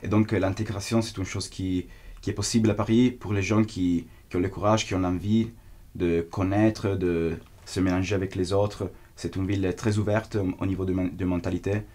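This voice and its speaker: A man's voice: sits low, flat delivery, normal speed, warm tone. A young man with an Italian accent, a student giving an earnest testimonial in a language he is still learning. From Italy. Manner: earnest, searching for words in a second language. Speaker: exchange student. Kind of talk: testimonial interview.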